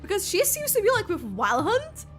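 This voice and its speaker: A woman game streamer giving excitable, halting reaction commentary as she plays. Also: very high voice, soft background music. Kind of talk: live gameplay reaction commentary.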